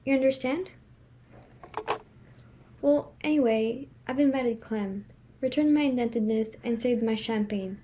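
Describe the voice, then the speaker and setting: A woman's voice: a little high, a little slow, long pauses, warm tone, a little hiss or hum, close to an everyday voice. A woman playing a fussy, snobbish middle-aged society hostess and mother in a play reading, with a theatrical, exclamatory, fretful manner. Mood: harried, exasperated.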